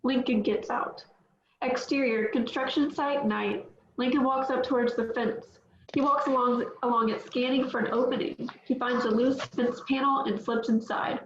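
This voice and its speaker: A woman's voice: a little high, fairly even intonation, moderate pace, roomy sound, muffled recording, a little hiss or hum. A woman reading the stage directions at a script table read in a plain, matter-of-fact way.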